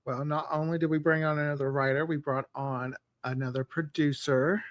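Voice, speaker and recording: Joking man, slightly high voice, close and dry. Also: level tone, normal speed, dry recording. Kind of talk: casual podcast banter.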